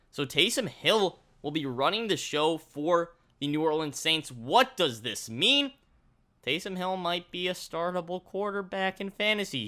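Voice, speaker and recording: A man's voice: on the high side, bright, forceful tone. A man presenting a fantasy football update, mixing news with his own commentary.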